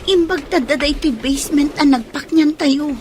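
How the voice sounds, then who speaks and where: A woman's voice: high voice, normal speed, a little mellow, a little hiss or hum, lightly acted. A woman playing an anxious young pregnant woman fretting over her sweetheart in a radio drama. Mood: anxious, tearful.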